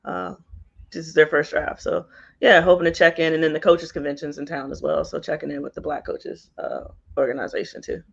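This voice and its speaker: A woman's voice: low-pitched, brisk, gravelly, husky. Woman from virginia co-hosting a podcast, casual wry talk, slightly dull lo-fi mic. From Virginia, United States. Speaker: podcast co-host.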